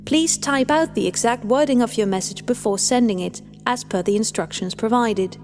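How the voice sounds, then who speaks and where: A woman voicing a businesslike female scientist in an audio story, formal, measured and procedural, over music. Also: fairly high pitch, a little mellow, lightly acted. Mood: formal, composed.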